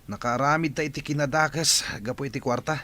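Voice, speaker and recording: A man's voice: mid-range voice, fairly even intonation, a little fast, pushed and bright, natural voice. A man with a Filipino accent playing a regretful, restless prisoner in a radio drama.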